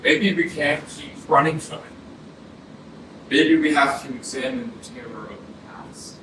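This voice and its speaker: A man acting in a staged reading as a searching dead young friend, quiet and questioning. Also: on the high side, measured pace, close to an everyday voice. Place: arts center stage, distant mic. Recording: echoey hall, distant, clear noise.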